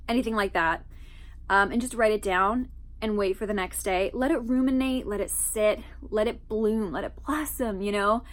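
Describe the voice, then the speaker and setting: A young woman hosting her own channel, talking casually to camera in a stuffy voice from allergies, with frequent ums and asides. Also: mid-range voice. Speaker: author and channel host.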